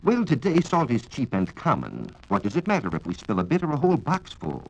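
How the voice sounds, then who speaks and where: A man with an American accent giving a formal, measured, scripted 1940s radio science talk on a dull vintage recording. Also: mid-range voice, wildly swooping pitch, vocal fry, a little hiss or hum. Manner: formal, measured lecturing with rhetorical questions. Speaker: science reporter and radio speaker. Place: radio studio.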